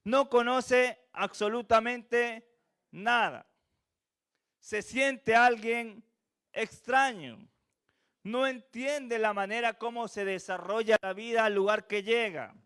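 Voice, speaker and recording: High, smooth-voiced Venezuelan preacher. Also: male voice, steady tone, slow pace, a bit bright. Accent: Venezuelan with a slight Chilean tinge. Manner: earnest, repetitive emphasis, rhetorical questions. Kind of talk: sermon. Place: church.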